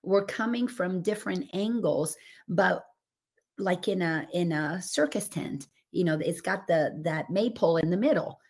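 A woman coach whose voice is raspy after laryngitis, talking earnestly and expansively over a remote call. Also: lowish voice, unhurried, darkish tone. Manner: earnest and expansive, building on images. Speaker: coach and guest. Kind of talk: casual spiritual podcast chat.

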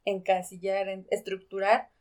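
A woman's voice: medium pitch, monotone, unhurried, darkish tone. Woman in casual, explanatory literary discussion. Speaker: podcast co-host.

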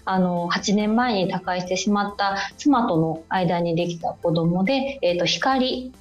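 A woman's voice: mid-range voice, measured pace, highs cut off. Polite woman guest with music underneath. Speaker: guest, film publicist. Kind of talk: radio interview, explaining in polite conversational speech.

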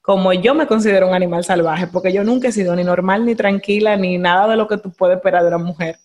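A young Dominican woman with a Dominican Spanish accent, hosting a podcast in animated casual chat, slipping into English phrases. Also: medium pitch, fast talker. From Dominican Republic. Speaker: podcast host.

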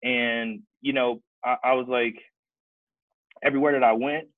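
A man's voice: medium pitch, fairly even intonation, moderate pace, dry recording. A man from Kansas City, Missouri, casually telling his life story as a guest, with many restarts. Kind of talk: casual podcast chat. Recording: dry, tinny phone-like sound.

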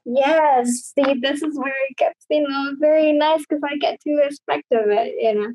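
A woman's voice: high-pitched, normal speed, warm tone, a little hiss or hum, rough recording. A woman from Indonesia, a guest talking casually over a video call, rambling with many fillers and restarts.

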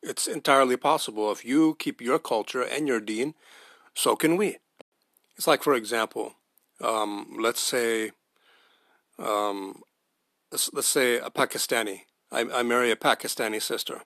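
American man, bright forceful voice, dry sound. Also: medium pitch, a little slow, no room sound. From United States. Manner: earnest, emphatic, argues with rhetorical questions. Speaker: podcast host. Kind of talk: spoken opinion monologue.

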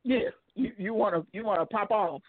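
A woman's voice: medium pitch, moderate pace, no echo. A woman in her thirties, a single mother, talking bluntly and laughing often in a casual call-in chat. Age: 30s. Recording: dry tinny phone line.

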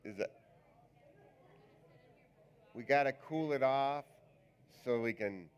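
A male pastor with a raspy voice, preaching a live church sermon in a chatty, joking, rambling way. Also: medium pitch, takes it slowly, muffled recording. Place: church sanctuary.

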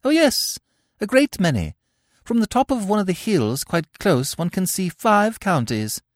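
A man voicing a sharp-tongued young country girl who speaks with falsely sweet, barbed politeness. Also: high voice, sing-song, unhurried, no echo, studio quality, voice pushed up. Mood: sweetly cutting.

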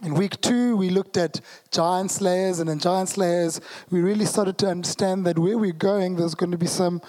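A preacher with a South African accent, giving a sermon in a conversational manner with joking asides, the voice hoarse and partly lost. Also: mid-range voice, steady tone, normal speed, mellow. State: hoarse, losing voice.

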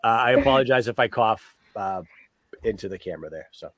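A man's voice: lowish voice, animated, a little fast, a bit bright, no echo. Stuffy-nosed man chatting on a podcast. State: stuffy from a cold. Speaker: podcast co-host. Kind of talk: casual book discussion.

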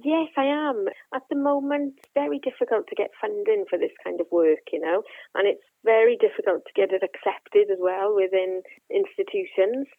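A Welsh woman speaks gently and earnestly as a guest researcher on a podcast. Her voice comes through a thin, tinny phone line with a dry sound. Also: medium pitch, dry recording. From Wales. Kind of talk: remote interview.

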